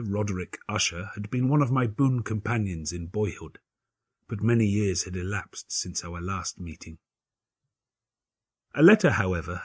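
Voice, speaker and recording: A man giving a dramatic gothic audiobook narration in a grave, measured, theatrical manner, with a husky voice. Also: fairly low pitch, no room sound, studio quality. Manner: grave, measured, theatrical delivery with formal literary phrasing.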